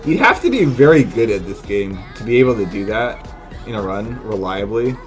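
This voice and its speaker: A man's lively voice jumps around in pitch as he talks through gameplay. He sounds like a streamer in casual conversation with his chat, openly incredulous and loose with swearing. Soft music plays behind him. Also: moderate pace. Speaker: video game streamer and speedrun analyst. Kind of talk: casual live gaming commentary.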